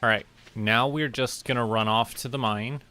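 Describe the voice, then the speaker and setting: A man giving casual gameplay commentary, rambling and relaxed as he talks to himself. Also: medium pitch, normal speed, a little hiss or hum. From Canada. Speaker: streamer.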